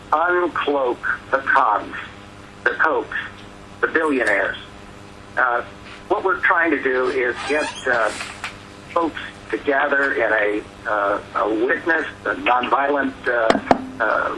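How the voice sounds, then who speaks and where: A man's voice: medium pitch, pushed and bright. A man moderating a teleconference, giving prepared remarks to introduce a panel. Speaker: conference call moderator. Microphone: telephone conference call. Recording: noisy teleconference call audio.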